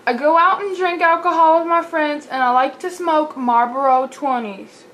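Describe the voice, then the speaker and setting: A woman's voice: sits high, normal speed, fairly bright tone, slight background noise, low-quality recording. A young woman voicing a brash, blunt party-girl doll in her early twenties.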